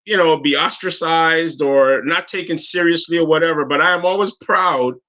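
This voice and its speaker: A man in his fifties from Guyana with a Guyanese accent, telling stories in an animated, heartfelt way as a guest on a casual talk show, often restarting his sentences. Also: on the high side, moderate pace, dull audio. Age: 50s.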